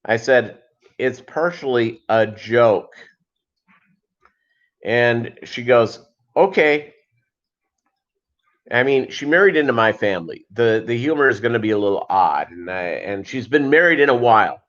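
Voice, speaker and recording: A man hosting a casual livestream, chatting with viewers in a halting way and wandering between asides. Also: medium pitch, animated, a bit bright. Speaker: livestream host. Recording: dull lo-fi livestream audio.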